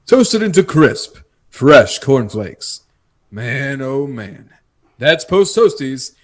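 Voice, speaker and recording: A man's voice: mid-range voice, big pitch swings, slow. A man reading a 1950s-style radio commercial with folksy, enthusiastic sales talk. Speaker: commercial announcer.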